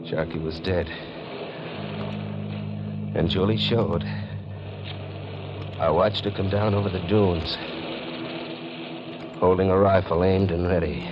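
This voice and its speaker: Lowish-voiced young radio-mystery hero. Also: male voice. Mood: tense narration. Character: wary young fortune hunter narrating his own mystery. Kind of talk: 1940s radio mystery acting and narration. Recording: dull, noisy 1940s radio recording.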